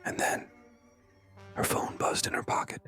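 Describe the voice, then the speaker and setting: Horror narrator, sharp breathy whisper throughout, suspenseful and hushed, music underneath. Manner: slow, hushed, suspenseful delivery with pauses. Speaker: narrator of scary stories. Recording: music behind. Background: background music.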